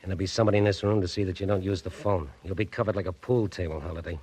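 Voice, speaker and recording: An actor playing a menacing gangster who speaks in a cold, clipped, threatening way in an old radio drama. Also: male voice, sits low, steady tone, rapid-fire, warm tone, slightly rough. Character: menacing male gangster watching the hero. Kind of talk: 1940s radio acting.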